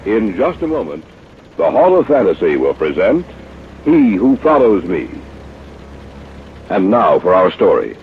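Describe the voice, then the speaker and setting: Slow, swooping low-voiced radio announcer man. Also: huge pitch swings. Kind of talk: dramatic 1950s horror radio announcing. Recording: tinny, noisy old radio recording.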